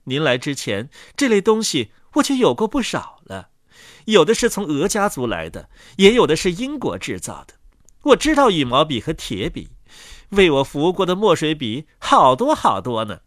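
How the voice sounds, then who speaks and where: A man's voice: a little high, wildly swooping pitch, moderate pace, no room sound, studio quality. Man voicing a pompous, swooping old talking inkwell. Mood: indignant scorn. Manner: pompous, theatrical, self-admiring. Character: boastful, haughty, indignant old talking inkwell. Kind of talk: acted storybook reading.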